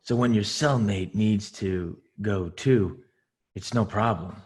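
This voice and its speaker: A man reads a crude, unbothered male jailbird who coaxes his cellmate in a low, easy voice. The delivery is slow and mocking, with a calm that makes it feel worse. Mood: coaxing. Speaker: table read actor. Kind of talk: table read of a script.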